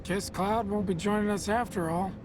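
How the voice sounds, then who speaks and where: A woman's voice: mid-range voice, steady tone, normal speed, background noise, lightly acted. A woman voicing a chatty young female rebel in a video game. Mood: mildly uneasy. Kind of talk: video game cutscene acting.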